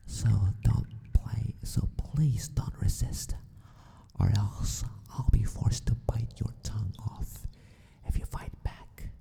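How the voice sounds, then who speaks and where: A man playing a sadistic, possessive mad scientist in an ASMR roleplay, gloating and teasing with mock courtesy in a husky near-whisper. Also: fairly low pitch, mellow, exaggerated voice. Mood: possessive menace.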